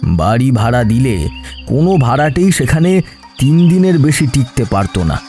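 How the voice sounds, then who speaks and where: A man playing a middle-aged village gentleman in a horror audio story, grave and fatherly, speaking slowly with pauses. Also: medium pitch, big pitch swings, faint music behind, lightly acted. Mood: grave, knowing. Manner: slow, grave, pausing. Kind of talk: dramatic Bengali horror audio story acting.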